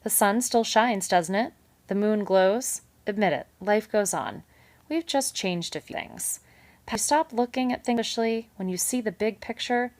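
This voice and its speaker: A woman voicing a big male beaver, a hearty, smooth-talking schemer in a read-aloud storybook. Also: measured pace, darkish tone, dry recording, close to an everyday voice. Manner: jovial, booming, salesman-like.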